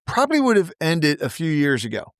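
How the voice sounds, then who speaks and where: A man's voice: fairly high pitch, wildly swooping pitch, a little fast, no room sound, studio quality. A man hosting a podcast interview over a call, easygoing, laughing often and restarting his sentences. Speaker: podcast host. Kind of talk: casual interview chat.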